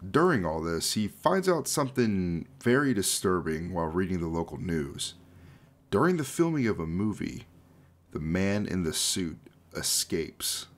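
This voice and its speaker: Low-voiced, animated young man. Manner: informal, jokey asides. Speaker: YouTube host explaining a horror series. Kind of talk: casual commentary with dramatic read-out passages.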